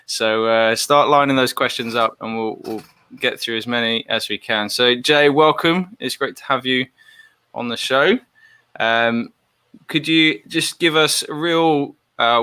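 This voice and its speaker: Male show host with a bright, crisp voice. Relaxed and hesitant, with plenty of "um"s, in a dry sound. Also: medium pitch, no room sound. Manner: relaxed, filler-heavy, hesitant. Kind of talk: casual show introduction.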